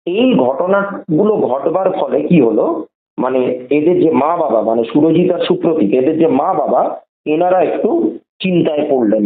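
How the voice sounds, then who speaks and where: A tinny phone line carries a Bengali man's slightly high voice, with a roomy echo around it. He tells his story in a conversational way, deliberately, repeating phrases to underline each point. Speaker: podcast guest telling a horror story. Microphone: phone call.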